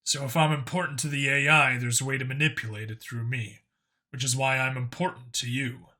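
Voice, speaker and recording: A man playing a blunt, wry human cop in an audiobook, with a casual, low-key delivery. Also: steady tone, brisk, pushed and bright, close to an everyday voice. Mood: sober. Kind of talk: audiobook character acting.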